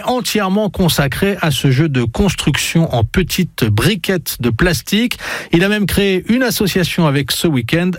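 A man's voice: a little high, no room sound. A man working as a radio reporter, narrating a scripted feature and putting questions to a guest.

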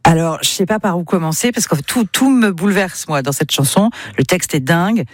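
A woman's voice: lowish voice, expressive, brisk, no room sound, clean studio sound. A woman hosting a casual music radio show, asking her guests playful, enthusiastic questions. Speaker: radio host.

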